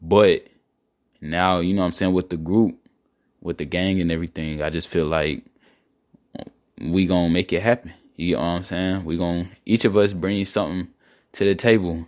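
A young man in his early twenties talks easily as a podcast guest, his voice sitting a little low. He keeps it relaxed and slangy, often checking in with "you get what I'm saying.". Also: normal speed. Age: 20s. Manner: laid-back, slangy, relaxed. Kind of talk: casual chat.

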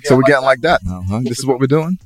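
A man's voice: mid-range voice, animated, rapid-fire, faint music behind. A man co-hosting a podcast in casual, slangy, laid-back, joking talk. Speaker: podcast co-host. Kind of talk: casual chat.

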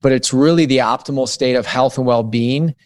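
A man's voice: medium pitch, fairly quick, darkish tone, no echo. Reflective man in his thirties, dry sound. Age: 30s. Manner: reflective, thinks aloud with many restarts. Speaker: guest author on digital wellness. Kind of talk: conversational podcast interview.

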